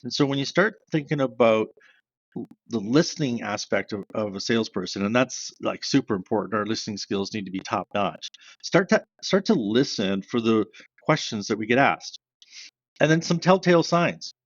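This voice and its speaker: A man from Canada, a sales trainer who is a guest on an interview podcast, explaining ideas step by step with examples. He describes himself as a loud, fast talker. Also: lowish voice, expressive, dry recording. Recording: dry, slightly dull sound.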